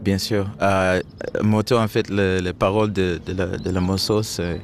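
Man, hesitant, low voice. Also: flat delivery, normal speed, a little hiss or hum. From Zimbabwe. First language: Shona. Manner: hesitant, searching for French words, many restarts. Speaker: musician guest. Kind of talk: interview answers.

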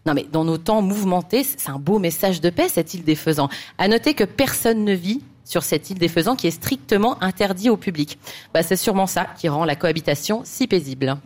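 A woman's voice: fairly low pitch, lively intonation, brisk, darkish tone. A woman panelist giving a comic bluffing answer on a quiz show, playful and teasing. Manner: playful, jokey, teasing asides.

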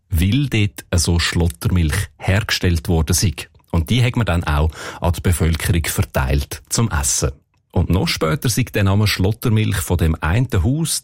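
A man's voice: low voice, a little mellow, breathy edge, no room sound, clean studio sound. A man with a Swiss German accent explaining as a dialect expert in a radio segment.

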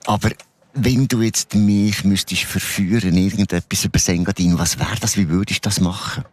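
A man with a Swiss German accent hosting a casual interview, asking questions in a relaxed, self-deprecating way. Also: low voice, normal speed. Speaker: radio host.

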